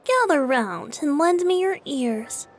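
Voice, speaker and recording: A woman's voice: sits high, animated, slow. A woman voicing a boyish young male bard for a video game fan dub, playful and theatrical with a teasing lilt. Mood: showy.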